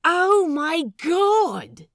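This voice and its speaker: A woman voicing a fussy, quick-witted young male pirate in an emphatic, sassy, flustered way for an audio story. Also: extremely high pitch, sing-song, no room sound, studio quality, voice pushed up, exaggerated voice. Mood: exasperated.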